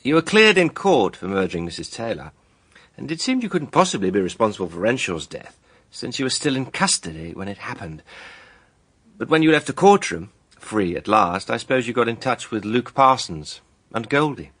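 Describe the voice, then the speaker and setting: An actor playing a self-assured, righteous accuser in a radio mystery drama, with emphatic, deliberate phrasing. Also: male voice, mid-range voice, sing-song, a bit bright. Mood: pressing, stern. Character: righteous, self-assured male accuser laying out a murder case.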